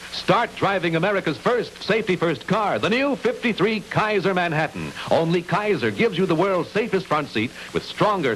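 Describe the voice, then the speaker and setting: A man's voice: high voice, fairly bright tone, poor audio. A man announcing a 1950s car commercial in an emphatic salesmanlike way on a hissy old broadcast recording.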